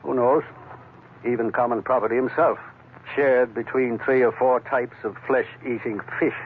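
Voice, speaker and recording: A man's voice: mid-range voice, steady tone, moderate pace, narrow, tinny audio, natural voice. A thin, faintly noisy vintage radio recording carries a man acting as a shady island doctor. He is wordy and sardonic, with a mock-genteel polish, in the manner of a 1940s adventure drama. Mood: cynical. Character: cynical, eloquent crooked island doctor, sardonic.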